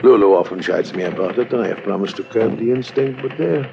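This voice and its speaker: An actor playing an impertinent, self-important, jealous old bank boss in an old-time radio drama, with a husky voice and a fussy, excitable manner. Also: male voice, lowish voice, fast talker, slight background noise. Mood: jealous, grave. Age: older. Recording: dull vintage radio recording.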